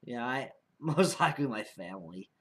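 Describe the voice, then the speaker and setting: Rambling, halting young man, casual vlogger. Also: mid-range voice, lively intonation, slightly rough. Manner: rambling, halting, repeats and restarts phrases. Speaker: amateur podcast creator. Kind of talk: casual unscripted vlog monologue.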